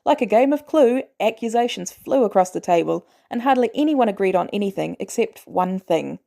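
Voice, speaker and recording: A woman reading a fan fiction story aloud as a podfic narrator, with expressive storytelling. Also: medium pitch, a little fast, gravelly. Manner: expressive storytelling, animated delivery. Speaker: podfic reader.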